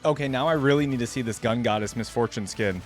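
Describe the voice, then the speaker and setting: A man's voice: medium pitch, fast, faint music behind. A young adult man, giving chatty, enthusiastic reaction commentary as a video host.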